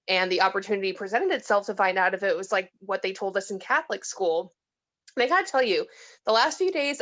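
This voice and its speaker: A woman's voice: mid-range voice, a bit bright, close to an everyday voice. A woman acting a tipsy, disillusioned woman who rambles and giggles in a read-through of a film script. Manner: rambling, slightly slurred, giggly.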